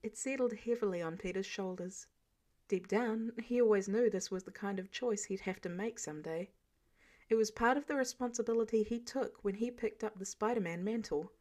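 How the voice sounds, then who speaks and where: A woman's voice: mid-range voice, moderate pace, a little mellow. A woman reading a story aloud as scripted audiobook narration. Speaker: amateur audiobook reader.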